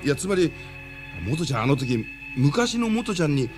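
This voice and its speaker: A man playing an excitable, talkative young friend in a radio drama, explaining insistently. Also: on the high side, moderate pace, music in the background. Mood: excited.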